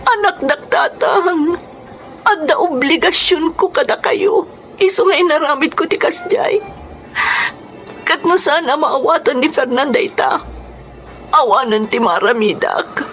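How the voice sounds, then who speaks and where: A woman's voice: high voice, expressive, a little slow, fairly bright tone, slight background noise. A woman acting a hurt, dutiful daughter in an Ilocano radio drama, earnest and pleading, with an Ilocano accent. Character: hurt, dutiful adult daughter defending her honour. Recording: music under the voice.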